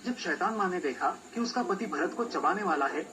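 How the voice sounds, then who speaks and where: Male storyteller with a slightly high voice. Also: animated, fairly quick, background noise. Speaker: storyteller, narrator. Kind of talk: scripted children's folk tale narration. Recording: music behind the voice.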